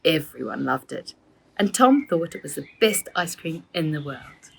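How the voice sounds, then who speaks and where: A woman, an author, reading her own children's book aloud in an expressive storytelling way. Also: low voice, normal speed, creaky, a little hiss or hum.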